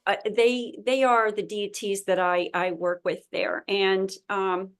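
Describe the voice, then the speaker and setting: A woman with a mid-range voice speaks in an earnest, conversational way. She pauses often and restarts her sentences as she finds her words. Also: mid-range voice, steady tone, smooth. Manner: earnest, with frequent fillers and restarts. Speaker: spiritual tour host. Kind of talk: casual conversation.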